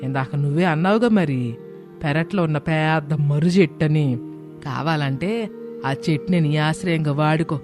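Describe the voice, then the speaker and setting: A man's voice: fairly high pitch, normal speed, lightly acted. A man voicing a stubborn, scornful mother-in-law in a cartoon moral story, taunting and commanding. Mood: scornful, challenging. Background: background music.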